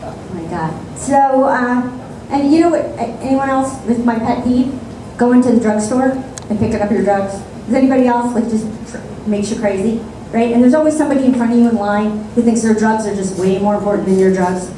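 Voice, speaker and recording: A woman comedian works the room with a deadpan, sarcastic delivery, her voice sitting a little high. She sounds far from the mic in a very echoey club, with audience noise clear behind her. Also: a little fast. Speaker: stand-up comedian. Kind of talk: stand-up comedy set.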